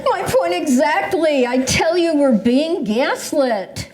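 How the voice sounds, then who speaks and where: In a reverberant room, a woman acts out a suspicious older lady in a stage reading. Her voice sits high, and she delivers her conspiracy-minded lines with theatrical, indignant emphasis. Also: animated, slow pace, a little mellow. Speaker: amateur readers theatre performer. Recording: echoey room recording.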